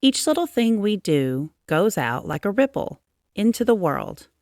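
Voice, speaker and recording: A gentle schoolteacher from a children's storybook, voiced by a woman reader. She explains softly and slowly, giving each phrase room, in a dry, close sound. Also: medium pitch, very animated, no room sound, voice pushed up. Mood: gentle, earnest.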